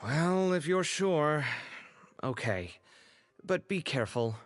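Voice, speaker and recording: A man's voice: fairly high pitch, big pitch swings, takes it slowly, soft background music. An actor playing a caring, somewhat fretful young man in a video game, conversational in delivery. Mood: worried. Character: concerned, expressive young man, a caring friend.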